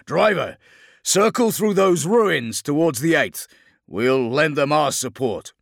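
A man's voice: a little high, lively intonation, unhurried, vocal fry, a bit bright, no echo, studio quality, voice pushed up, heavily acted. A man voicing a fierce, commanding tank captain in an audiobook battle scene, giving clipped military orders. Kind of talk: dramatic audiobook character acting.

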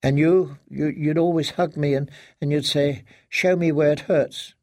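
A man voicing a frightened, fragile grown daughter in an audiobook, halting and stammering as she pleads like a child. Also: fairly high pitch, fairly even intonation, darkish tone, dry recording, studio quality. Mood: fragile, tearful. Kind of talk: dramatic audiobook character reading.

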